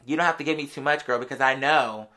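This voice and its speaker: Bright, sassy American voice in the late twenties. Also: medium pitch, quick pace. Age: late 20s. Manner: conversational and sassy, heavy slang, often interjecting "girl". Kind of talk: casual gossipy storytelling.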